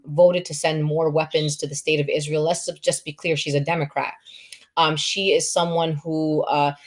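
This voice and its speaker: Low-voiced woman hosting, emphatic and off-the-cuff. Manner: emphatic, repeats words for emphasis. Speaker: host and moderator introducing panelists. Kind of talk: impassioned political introduction, speaking off the cuff.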